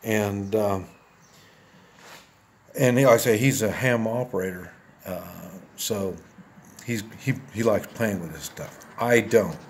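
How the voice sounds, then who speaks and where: A man in his seventies talking casually and plainly to viewers as he shows gear, halting and rambling, often repeating himself. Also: lowish voice, slight background noise. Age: 70s. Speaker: video host.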